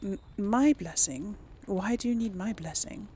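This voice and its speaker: A woman's voice: mid-range voice, a little slow, a little mellow, husky. A woman hosting a gaming video, role-playing casually and musing aloud in a hesitant way. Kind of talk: casual role-play reactions over a game.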